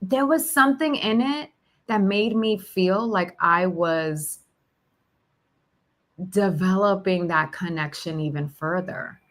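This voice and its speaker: A woman talking reflectively and casually about personal experience, feeling her way through her thoughts in a halting, searching delivery. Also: fairly low pitch. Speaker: podcast host sharing personal reflections. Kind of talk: reflective, casual spiritual conversation.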